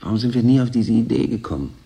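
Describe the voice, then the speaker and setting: An actor playing a resigned, doubting station worker in a radio drama, subdued and questioning. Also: male voice, medium pitch, expressive, fast, creaky, dark tone, slightly rough. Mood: resigned, searching.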